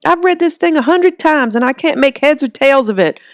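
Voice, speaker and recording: A woman voicing an irate old townsman who grumbles and demands loudly in an audiobook. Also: sits high, expressive, moderate pace, dry recording, studio quality, voice pushed up. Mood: angry demanding.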